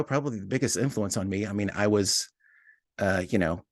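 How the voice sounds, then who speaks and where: A man speaking as an interview guest, talking casually and reflectively about his writing work, thinking aloud in halting fragments. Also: low-pitched, no room sound, clean studio sound. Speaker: audio drama writer and producer, interview guest.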